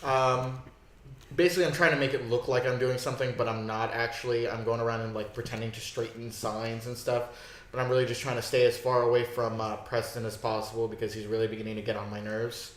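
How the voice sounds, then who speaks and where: A man's voice: mid-range voice, flat delivery, fast talker. A man talking as a player in a tabletop game in a wry, offhand way. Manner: offhand, wry in-character talk. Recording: roomy echo with faint noise.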